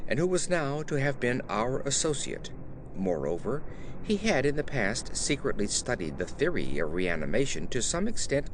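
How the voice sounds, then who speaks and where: A man narrates a horror tale in a theatrical, measured manner. His mid-pitched voice rises and falls widely through the literary prose. There is clear noise behind him. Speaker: audiobook narrator. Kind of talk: dramatic scripted narration of a horror story.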